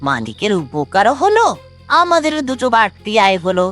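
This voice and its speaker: A woman's voice: medium pitch, huge pitch swings, normal speed, fairly bright tone, soft background music. A woman voicing a sly male thief who poses as a humble mason in a cartoon story, theatrical and wheedling. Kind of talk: dramatic animated moral story.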